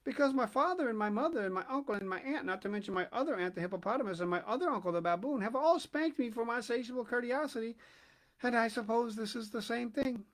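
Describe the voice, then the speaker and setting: A man voicing a small, polite and curious young elephant calf in a storybook. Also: fast. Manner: high, polite, eager.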